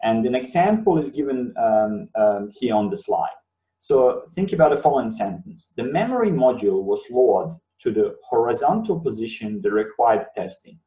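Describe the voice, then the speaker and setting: A man's voice: medium pitch, sing-song, normal speed, dark tone. Bosnian-native man lecturing in a roomy space. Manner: explanatory, repeats words for emphasis. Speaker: university lecturer. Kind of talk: webinar lecture. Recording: roomy sound, mic at a distance.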